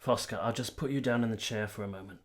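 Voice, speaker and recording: A man's voice: fairly low pitch, quick pace, natural voice. A man playing an earnest, devoted, principled army captain in a period radio drama, speaking formally and sincerely. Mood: tender.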